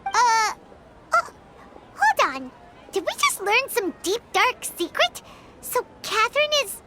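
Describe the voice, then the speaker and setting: A tiny fairy sidekick from a video game, with an extremely high, childish voice that swings around in pitch. The delivery is chirpy, curious and blunt. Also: female voice, sing-song, slow, heavy background noise, exaggerated voice. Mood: surprised, puzzled. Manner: chirpy, childish, refers to self in third person. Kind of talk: scripted video game voice acting.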